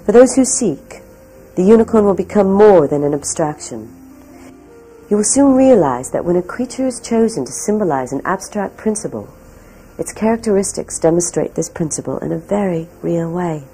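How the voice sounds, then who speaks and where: A woman reading scripted documentary narration in a measured, solemn storytelling manner. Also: on the low side, dark tone. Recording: music behind. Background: background music.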